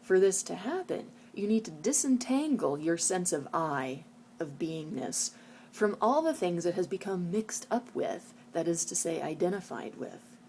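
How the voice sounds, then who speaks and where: Mellow-voiced, reflective woman over background noise. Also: on the low side, big pitch swings, a little slow. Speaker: vlogger sharing a book recommendation. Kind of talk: reading book passages aloud and informal reflective talk.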